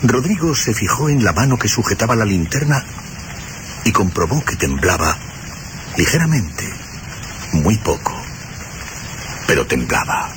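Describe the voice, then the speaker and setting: A man narrating a scripted suspense radio play with dramatic, deliberate phrasing. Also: on the low side, big pitch swings, background noise.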